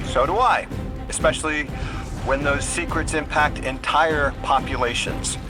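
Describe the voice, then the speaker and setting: A man's voice: a little high, measured pace, natural voice. An actor playing a roguish male schemer in a video game, with a wry, showy, theatrical manner. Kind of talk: video game character acting. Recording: loud music over the voice.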